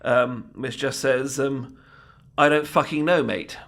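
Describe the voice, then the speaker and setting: A man's voice: medium pitch, steady tone, slow pace, a bit bright. A man with a British accent playing a bumbling, timid, anxiously optimistic knight in a comedic fantasy audio roleplay, stammering and apologetic. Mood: sheepish, nervous. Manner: stammering, hesitant, apologetic, politely flustered.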